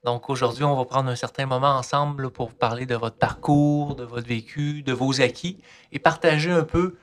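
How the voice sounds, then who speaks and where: A man of ordinary pitch hosting a podcast interview. He keeps his questions short and encouraging, and often echoes his guest's words back. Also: steady tone, moderate pace. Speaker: podcast host. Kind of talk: interviewer, conversational.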